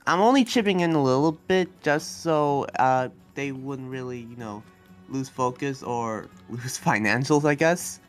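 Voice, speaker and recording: An earnest demon slayer who doubles as a researcher, played by a man in an anime Minecraft roleplay. He talks in a mid-pitched voice, halting and often trailing off as he explains things, with faint music underneath. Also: natural voice. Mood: earnest, explaining. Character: young male demon slayer and researcher, earnest, hesitant guide.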